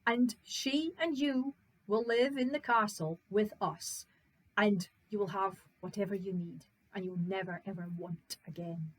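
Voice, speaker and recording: A woman voicing a kindly, grieving middle-aged baron in a told folk tale who speaks in a warm, formal, gently commanding way. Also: a little high, rough recording.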